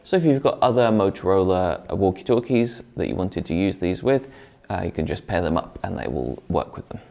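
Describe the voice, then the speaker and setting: Deep-voiced man presenting a product review. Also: lively intonation, brisk, gravelly, mellow. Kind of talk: semi-scripted product review to camera.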